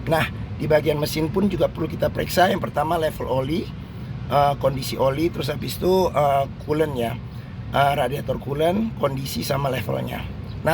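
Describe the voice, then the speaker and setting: Hoarse car dealer, noisy outdoors. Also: male voice, medium pitch. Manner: slow, halting delivery with many fillers. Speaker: used-car dealer host. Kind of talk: walkaround car review with buying tips.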